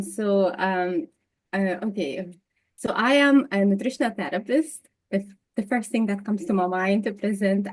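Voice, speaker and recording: A woman speaks with a Lithuanian accent as the guest in a relaxed interview over a video call. She laughs often and repeats her words as she searches for them. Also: on the low side, poor audio. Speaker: guest, nutritional therapist. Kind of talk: casual interview chat. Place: home in Dublin, video call.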